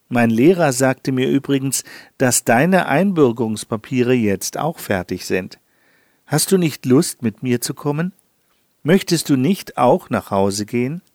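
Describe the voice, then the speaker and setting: Guy reading a morning devotional, super slow and calm, dry close mic. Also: mid-range voice, no echo. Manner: calm, measured, addresses listener directly. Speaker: devotional reader.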